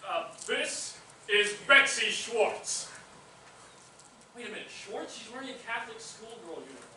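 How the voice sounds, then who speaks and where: Man playing a clueless hesitant narrator, very high-pitched, sharp bright voice, stage comedy, echoey. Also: expressive, a little slow, far from the mic, full character voice. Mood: fumbling. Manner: hesitant, fumbling. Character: clueless, fumbling male stand-in narrator reading exposition. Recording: echoey stage recording from afar, faint noise.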